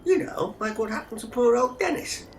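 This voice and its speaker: A man's voice: very high-pitched, animated, moderate pace, slight background noise, voice pushed up. A man voicing a timid, naive young zoo employee in a comic audio drama, hesitant, earnest and deferential. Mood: nervous, puzzled.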